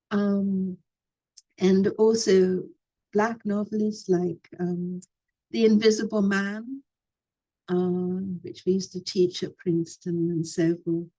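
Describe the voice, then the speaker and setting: An older woman, a former professor, reminiscing in an unhurried conversation with frequent pauses and fillers. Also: fairly low pitch, mellow, rough recording.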